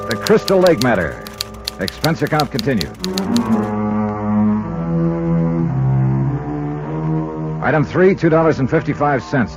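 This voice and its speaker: A man's voice: mid-range voice, natural voice. An American man acting a dry, tough insurance investigator who narrates his case in a clipped, wry way, in 1950s radio drama style. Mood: wry, brisk. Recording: muffled vintage radio recording, music behind.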